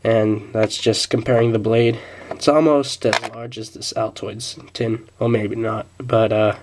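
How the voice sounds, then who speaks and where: A man's voice: fairly low pitch, steady tone, measured pace, background noise. A young man, a bit sick, casually reviewing a pocket knife, with many fillers and restarts.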